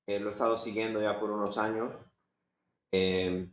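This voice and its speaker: A middle-aged man from Bolivia, a radio show guest who talks haltingly with many fillers. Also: on the low side, little pitch movement, echoey room. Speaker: real estate agent and guest. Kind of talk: radio talk show chat.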